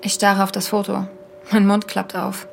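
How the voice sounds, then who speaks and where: An actress plays a haunted woman podcaster on a search in an audio drama. Her mid-pitched voice carries a lot of creak, and she speaks with earnest intensity. A little background noise sits behind her. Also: monotone, moderate pace, natural voice. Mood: stunned, urgent. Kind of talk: audio drama, first-person podcast narration.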